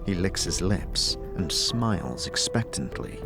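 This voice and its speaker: A man with a fairly deep voice narrates a fantasy audio drama. His delivery is scripted, measured and dramatic, addressing the listener as the hero, with soft music underneath. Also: sing-song.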